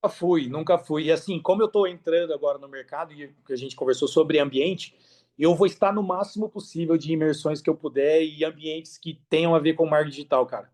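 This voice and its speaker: A Brazilian man with a fairly high voice speaks as a guest in a casual interview. His delivery is energetic and enthusiastic, full of slang and conversational turns. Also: brisk. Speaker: podcast guest, affiliate marketer. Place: remote video call.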